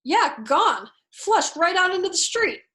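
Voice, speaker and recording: A woman's voice: extremely high pitch, big pitch swings, measured pace, sharp tone, pitched above the performer's own voice, exaggerated voice. A woman voicing a crude, cheerfully mocking male army captain in a storybook, with emphatic, taunting delivery. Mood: gleeful, emphatic.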